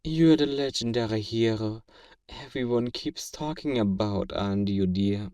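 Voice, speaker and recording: A man playing a feared fantasy villain in a flirtatious audio roleplay, measured, amused and condescendingly courteous, in an intimate ASMR acting style. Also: lowish voice, darkish tone. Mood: smug, welcoming. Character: feared male fantasy villain, suave, teasing, flirtatiously obsessive. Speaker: voice actor performing a roleplay. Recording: roomy echo, distant from the mic.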